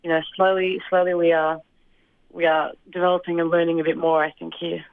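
A woman's voice: fairly low pitch, little pitch movement, brisk, gravelly, clear, resonant tone, no room sound. Young woman on a tinny phone line. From Australia. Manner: thoughtful, hesitant with fillers and soft laughs. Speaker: interview guest. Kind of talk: casual interview answers.

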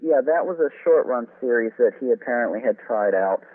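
A man's voice: mid-range voice, animated, brisk. A man being interviewed as an author, explaining radio history in a conversational way. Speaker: interview guest, author on old-time radio. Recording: phone-like narrow, tinny sound.

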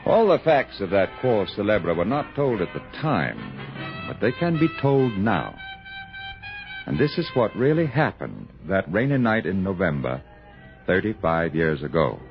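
A man's voice: low-pitched, big pitch swings, unhurried. A man narrating a scripted 1960s radio drama in a florid, theatrical storytelling style. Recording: muffled old radio broadcast with music.

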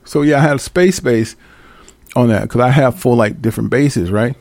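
Man, radio host and producer, gravelly voice, dry close sound, loose and playful delivery. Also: medium pitch, moderate pace, a little mellow, dry recording. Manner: loose and playful, mimics drum sounds, swears, laughs often. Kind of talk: casual talk about making a song.

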